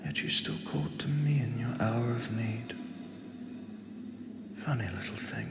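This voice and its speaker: A man voicing an ancient male god who speaks softly and slowly, fond and gently teasing, as if to a mortal he protects. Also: medium pitch, steady tone, loud music behind the voice. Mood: soothing. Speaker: voice actor. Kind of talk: audio drama monologue. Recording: echoey with long reverb and clear ambience.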